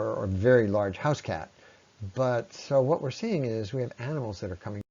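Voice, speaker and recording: A man presenting an explanatory slide talk in a conversational, unscripted way, with halting phrasing and frequent pauses. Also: medium pitch, mellow, dull audio. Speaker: presenter, ecology lecturer.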